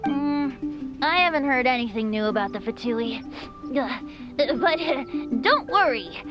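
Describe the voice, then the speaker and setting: A woman's voice: high-pitched, animated, measured pace, lo-fi sound, music in the background. A woman voicing a breezy, boyish, easygoing bard in a video game, light-voiced, hesitant and playful, congested with allergies. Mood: reassuring. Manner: hesitant, airy, playful, throat-clearing. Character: boyish, breezy, easygoing wandering bard, secretly a wind spirit. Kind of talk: video game character acting.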